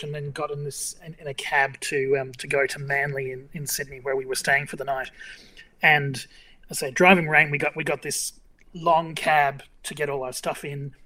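Irish-born guy in his 50s spinning yarns, bright crisp voice, a bit high. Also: poor audio. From Ireland. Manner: rambling storyteller, many fillers and restarts. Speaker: journalist guest. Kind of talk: casual interview chat.

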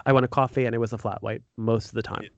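A man's voice: medium pitch, fairly even intonation, fast talker, creaky, no echo, dull audio. An American man hosting a podcast, interviewing in a casual, informal and enthusiastic conversational way. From United States. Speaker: podcast host.

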